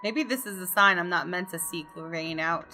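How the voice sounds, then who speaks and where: A woman's voice: fairly low pitch, normal speed, pushed and bright, soft background music. A woman gaming YouTuber reading a visual novel aloud expressively and adding casual commentary.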